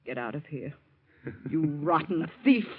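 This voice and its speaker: A woman's voice: sits low, animated, measured pace, breathy edge, heavily acted. Indignant society stepmother under pressure, dramatic vintage radio acting, thin muffled recording. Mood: bitter. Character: indignant cornered society stepmother being blackmailed.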